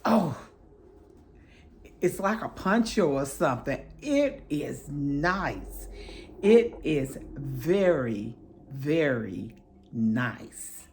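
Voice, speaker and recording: A woman chats slowly through a product review at home, her voice hoarse and crackling from a recent illness. She speaks in a low register, warm-hearted and devout in her greetings. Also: big pitch swings. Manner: affectionate, chatty, pious greetings.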